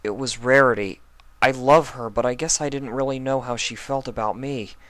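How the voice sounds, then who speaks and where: A man's voice: medium pitch, steady tone, normal speed, a bit bright, no echo, pitched above the performer's own voice, lightly acted. A man voicing a young male dragon turned into a pony, tearful and pleading. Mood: confessing. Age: young adult.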